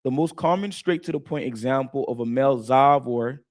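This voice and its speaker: A man co-hosting a Bible study talk, explaining in a casual, animated way and stumbling over words at times. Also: mid-range voice, moderate pace. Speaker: co-host teaching scripture.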